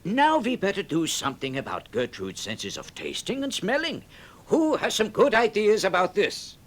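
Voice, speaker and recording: High-pitched, swooping old bearded helper. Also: male voice, huge pitch swings, normal speed, heavily acted. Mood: thoughtful. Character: bearded old helpful leader, thoughtful, earnest, encouraging. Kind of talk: children's storybook record character voice. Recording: vintage record with music behind.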